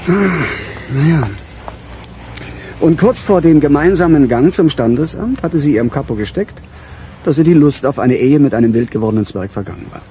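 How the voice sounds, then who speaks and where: An actor playing a weary middle-aged police chief in a radio crime drama, with a dry, musing, sardonic manner. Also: male voice, a little high, expressive, normal speed, dark tone, slight background noise, close to an everyday voice. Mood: irritated, explaining.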